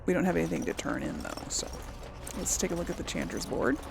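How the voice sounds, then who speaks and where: A woman's voice: fairly low pitch, expressive, fast talker, gravelly, noisy background. A woman hosting a gaming video with casual let's play commentary, chatting and musing aloud.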